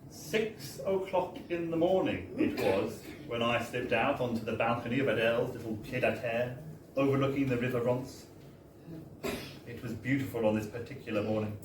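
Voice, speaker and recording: A man's voice: medium pitch, normal speed. A man giving a theatrical, wry live reading of a comic first-person story, with emphatic tag phrases. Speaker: reader of a story at a book launch. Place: bar, live event. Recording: roomy, distant live recording with background noise.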